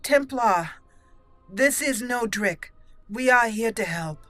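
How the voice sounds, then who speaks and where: A woman's voice: fairly high pitch, lively intonation, takes it slowly, a bit bright, dry recording, soft background music, pitched above the performer's own voice. A woman voicing a resolute, commanding female elf mage in a game roleplay, firm and declamatory. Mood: firm, reassuring. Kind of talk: acted roleplay dialogue over a game.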